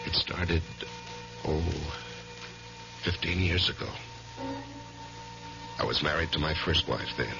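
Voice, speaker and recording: An actor playing an anguished lawyer in his forties, halting and uneasy, in a 1970s radio mystery. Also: a bass voice, gravelly, music in the background, full character voice. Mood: troubled, confessional. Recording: dull lo-fi 1970s radio recording.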